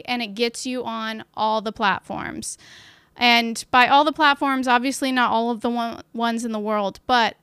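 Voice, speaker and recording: A woman hosting her own podcast on a live stream, chatty and upbeat, with a loud voice and lots of fillers, talking into a studio mic at home. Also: fairly high pitch, smooth, no room sound. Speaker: podcast host. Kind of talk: casual live-stream chat. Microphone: studio mic with portable recorder.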